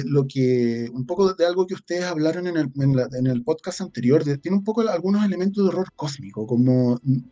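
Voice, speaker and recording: A man with a Chilean accent answering interview questions as a guest, reflective and halting as he searches for words. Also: a little high, dark tone, lo-fi sound. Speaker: guest, audio drama creator.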